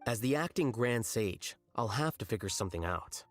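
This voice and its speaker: An actor playing an aloof, blunt young male scholar in a video game, deadpan, measured and articulate. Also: mid-range voice, lightly acted. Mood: calm, detached. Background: music.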